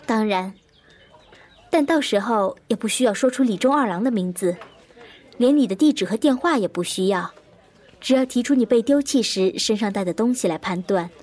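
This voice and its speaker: An actress playing a composed, probing woman investigator in an audio drama, measured and introspective as she recalls a fateful case. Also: a little high, animated, a little mellow, natural voice. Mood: calm, firm. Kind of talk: audio drama narration and dialogue. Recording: echoey long reverb, music behind.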